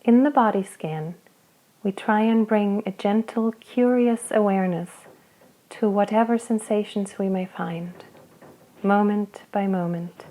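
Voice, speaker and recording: A woman leads a guided body scan in a soft, unhurried voice, leaving long pauses between her phrases. Her tone is warm and mellow. She invites rather than instructs. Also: mid-range voice, fairly even intonation, low-quality recording. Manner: slow, soft, gentle guidance with long pauses. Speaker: meditation guide.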